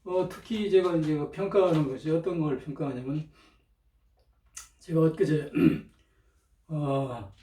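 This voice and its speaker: A man talking in an informal, rambling monologue, hesitant, often clearing his throat, with a slightly strained throat, on a cheap microphone. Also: fairly high pitch, slow pace, pauses often, warm tone. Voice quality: strained throat from chronic irritation. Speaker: lecturer, channel host. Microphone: cheap, low-volume microphone. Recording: roomy, distant cheap microphone.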